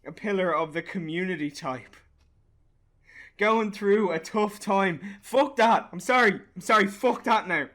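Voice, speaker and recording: An actor with an Irish accent playing a grieving, bitter young father in a naturalistic radio play, halting and sweary. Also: high-pitched, a bit bright. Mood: furious. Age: young adult.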